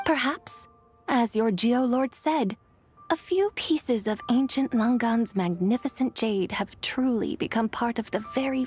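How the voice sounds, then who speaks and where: An ancient immortal from a video game, gracious and wistful in a fairly high voice. She speaks with poetic, serene eloquence over soft background music. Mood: reflective.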